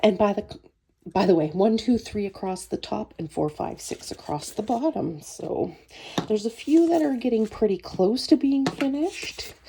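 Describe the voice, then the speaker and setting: A woman's voice: medium pitch, lively intonation, a little slow, mellow, slight background noise. A woman with a cold and a sore throat, chatting casually through a budgeting vlog in a friendly, rambling way. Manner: friendly, rambling, frequent ums. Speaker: budgeting vlogger.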